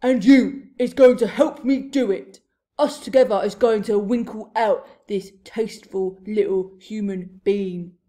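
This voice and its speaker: A woman voicing a huge, gruesome male giant in a storybook, a booming brute who bellows in broken grammar and nonsense insults. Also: measured pace, warm tone, lightly acted. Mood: bullying, furious. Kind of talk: storybook read-aloud character voice.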